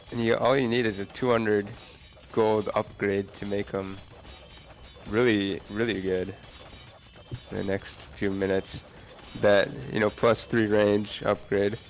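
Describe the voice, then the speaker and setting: Lower-pitched man analysing a game match. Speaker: esports co-commentator. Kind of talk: casual analytical game commentary.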